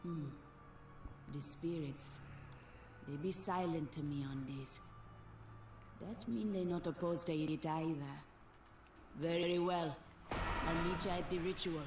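Echoing, lilting Caribbean-accented troll druid. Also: male voice, fairly high pitch, slow pace, long pauses. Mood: musing. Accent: Caribbean-style. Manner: lilting patois, cryptic. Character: male troll druid, mystical, wary, laid-back. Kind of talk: video game character dialogue. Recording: echoey, distant game audio, faint noise.

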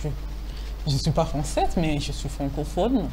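Woman, forties, lowish voice, hesitant with fillers, TV studio lapel mic, faint hum behind. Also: very animated, normal speed. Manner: hesitant, many fillers and restarts, laughs easily. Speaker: writer, interview guest. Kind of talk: casual interview answers. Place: TV studio set.

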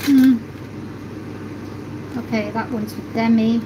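A woman's voice: on the high side, fairly even intonation, slow, noisy background. A woman in her thirties hosting a casual live cooking stream from a small home kitchen, chatting and thinking aloud as she carves and serves. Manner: chatty, thinks aloud while carving and plating. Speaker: livestream host. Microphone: phone camera close up.

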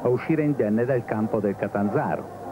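A man's voice: on the low side, moderate pace. A man reading a scripted football match report on an old television broadcast. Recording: dull, noisy archive TV broadcast.

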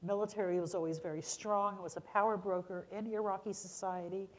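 A woman's voice: mid-range voice, steady tone, a little fast. An older woman speaking emphatically as a panelist on a lecture hall stage. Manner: emphatic, explanatory. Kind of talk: panel discussion.

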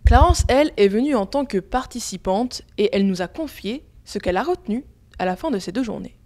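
A woman's voice: fairly high pitch, animated, a little fast, a little mellow. A woman news reporter reading a scripted narration for a news report.

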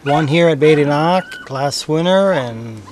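A middle-aged man cuts in with short, factual remarks. His voice sits a bit high for a man and he sounds slightly off-mic. Faint outdoor noise runs under him in the snowy woods. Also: expressive, a little slow. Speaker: beagle owner.

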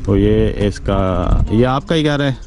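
A man's voice: fairly low pitch, slow pace, crowd noise. A man from Pakistan hosting a village tour, asking the locals short, friendly questions while filming outdoors on a handheld camera. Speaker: vlog host and interviewer. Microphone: camera held by the speaker.

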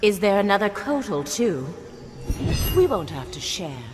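A woman's voice: mid-range voice, very animated, measured pace. A woman playing a proud, combative young warrior in a video game. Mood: challenging. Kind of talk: video game character dialogue. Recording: loud music behind the voice.

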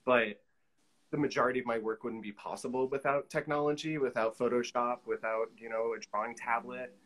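A man's voice: medium pitch, fairly even intonation, brisk, fairly bright tone. Conversational man chatting as interview guest. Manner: conversational, frequent "you know" asides. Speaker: interview guest, collage artist. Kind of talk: casual interview chat. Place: small indoor room with pinned pictures, video call.

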